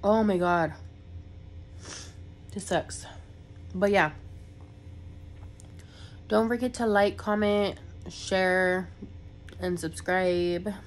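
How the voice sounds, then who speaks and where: A woman's voice: medium pitch, steady tone, extremely slow, pauses often, a little hiss or hum. A young woman, congested from a cold, rambling casually in a vlog with lots of fillers. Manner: rambling, filler-heavy, chatty. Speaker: vlogger.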